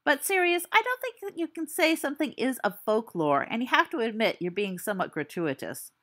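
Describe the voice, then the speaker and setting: A woman's voice: fairly high pitch, sing-song, a little fast, a bit bright. A woman voicing a prim, reasonable teenage boy who corrects his friends. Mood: exasperated.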